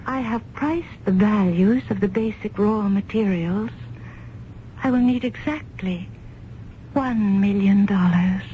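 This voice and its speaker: A woman's voice: mid-range voice, close to an everyday voice. A mysterious woman from another world played by an actress in an old radio play, on a muffled recording with faint noise. She is solemn and formal, choosing each word with care. Her insistence is coaxing and hypnotic, drawing the listener toward her. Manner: deliberate, formal, hypnotically persuasive. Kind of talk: old-time radio drama acting.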